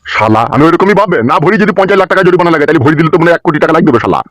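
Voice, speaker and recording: A man's voice: a little high, animated, racing, fairly bright tone, dry recording, studio quality. A man voicing a hot-tempered middle-aged village father in a comic cartoon, scolding and cursing in an abusive harangue. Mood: furious scolding. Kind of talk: comic Bengali cartoon dub.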